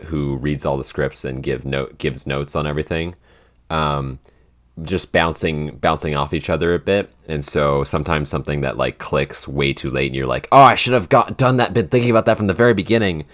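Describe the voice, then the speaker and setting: A young man chatting casually as a podcast host, rambling with many fillers and restarts. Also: rumbling low. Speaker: podcast host and show writer. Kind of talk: casual chat.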